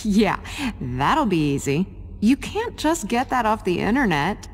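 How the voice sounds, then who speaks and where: A woman's voice: medium pitch, very animated, measured pace. A woman voicing a capable, concerned young female assistant in a video game. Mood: wry.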